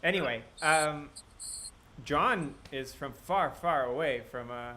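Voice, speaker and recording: Young male host, bright tense tone, reads fan questions, laughs a lot. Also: on the high side, takes it slowly, slight background noise. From Russia and Israel. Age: 20s. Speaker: band member moderating a live Q&A. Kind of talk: casual livestream chat.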